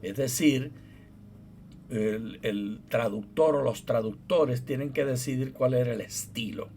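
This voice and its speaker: A man's voice: mid-range voice, unhurried, a little mellow, slight background noise. A middle-aged man co-hosting a Bible study program, explaining things patiently and steering the talk back to the topic. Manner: explanatory, teasing, steers the conversation back on track. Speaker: co-host, theologian and professor.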